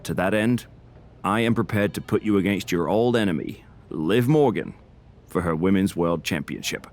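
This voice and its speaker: A diplomatic general manager in a wrestling game. His voice is deep, and he talks in formal, slightly florid phrases, always placating and negotiating. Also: animated, moderate pace. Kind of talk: scripted video game cutscene acting.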